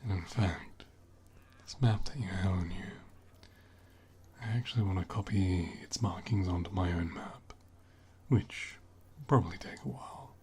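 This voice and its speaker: A man playing a flirty, protective assassin in an intimate ASMR roleplay, speaking gently and often dropping into a soothing whisper. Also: deep voice, normal speed, slight background noise, lightly acted.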